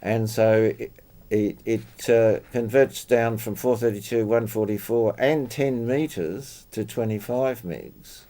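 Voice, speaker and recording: A man chatting casually about technical matters as a club member, explaining details in a halting way. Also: on the low side, dark tone. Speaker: club member discussing radio gear.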